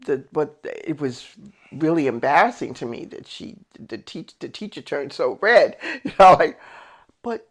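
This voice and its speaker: An American woman in her eighties telling family stories in a casual, animated way, emphatically acting out remembered dialogue. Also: low voice, unhurried, breathy edge. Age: 80s. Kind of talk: casual personal storytelling.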